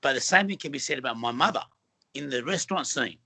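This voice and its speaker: Middle-aged aussie guy from western sydney, earnest and rambly, bright punchy tone. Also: medium pitch, lively intonation, fast, vocal fry. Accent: Australian. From Western Sydney, Australia. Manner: earnest, rambling, many fillers and restarts. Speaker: film actor and guest. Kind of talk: casual interview conversation.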